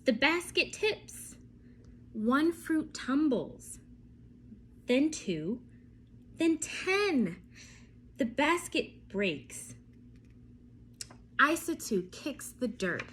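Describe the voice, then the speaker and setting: A woman reading a bedtime story aloud for children, expressive and often turning to ask her young listeners questions. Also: high-pitched, very slow, pauses often, slight background noise.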